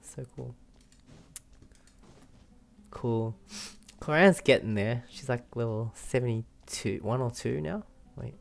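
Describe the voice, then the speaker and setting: A young man giving casual, relaxed playthrough commentary, musing aloud as he plays. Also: mid-range voice, slow, gravelly, pauses often, a little hiss or hum. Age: young adult. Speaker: game streamer. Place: small room indoors.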